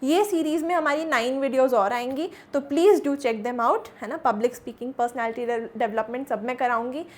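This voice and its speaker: High-pitched, chatty Indian woman teacher. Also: normal speed, few pauses. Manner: chatty, encouraging, emphatic, often seeks agreement with tag questions; mixes Hindi and English. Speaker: English teacher. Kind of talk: informal motivational lesson to students.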